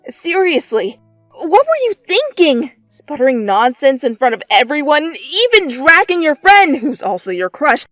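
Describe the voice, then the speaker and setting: High-pitched woman narrating a story dramatically. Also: no echo. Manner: expressive, theatrical. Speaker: fan fiction reader. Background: music.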